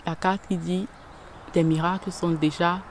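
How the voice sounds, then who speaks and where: A woman giving a tarot reading straight to the viewer, gentle and unhurried, often pausing and searching for words. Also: fairly low pitch, little pitch movement, slight background noise. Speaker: tarot reader.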